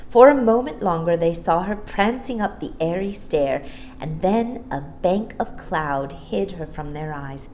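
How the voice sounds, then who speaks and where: A woman reads a children's story aloud with an animated, expressive delivery. Her voice sits a little low and moves widely in pitch, over faint background noise. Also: unhurried.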